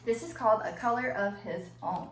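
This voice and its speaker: A woman schoolteacher reading aloud to young children and explaining an activity in simple, clear phrases. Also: a little high, a little fast. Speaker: elementary school teacher. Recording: echoey room with faint background noise.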